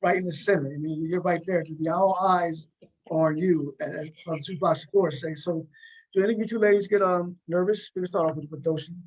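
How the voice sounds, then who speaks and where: A man's voice: on the high side, fairly even intonation, a little fast, breathy edge, rough recording. A man interviewing guests casually, repeating words and rephrasing his questions as he feels his way along.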